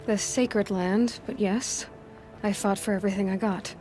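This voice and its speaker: An actress playing a determined outsider huntress in a video game, speaking in a direct, firm way. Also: female voice, mid-range voice, fairly even intonation, normal speed, warm tone, faint music behind, natural voice. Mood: wary, determined.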